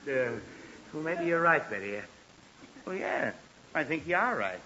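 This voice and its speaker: A man's voice: a little high, big pitch swings, a little slow, bright, forceful tone, exaggerated voice. An American man playing an exasperated, flustered middle-aged dad in an old time radio comedy, blustery and sarcastic. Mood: resigned. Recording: muffled, noisy vintage radio recording.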